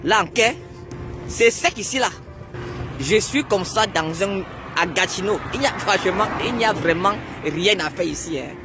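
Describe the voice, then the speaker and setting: A man's voice: high-pitched, normal speed, over music. A man vlogging outdoors on a street, complaining casually. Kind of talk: street vlog.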